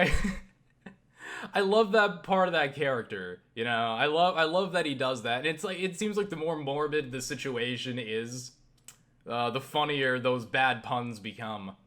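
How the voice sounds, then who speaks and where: Bright, forceful man rambling casually. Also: medium pitch. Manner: halting, self-interrupting, circling back with repeated "you know"; casually profane. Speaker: YouTube vlogger. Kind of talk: rambling casual vlog monologue.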